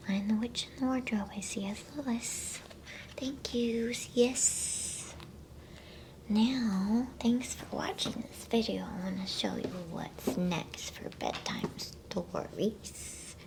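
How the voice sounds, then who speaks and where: A woman whispering softly in a soothing, unhurried way, as an ASMR host reading bedtime stories and chatting to listeners. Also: mid-range voice. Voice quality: breathy whisper.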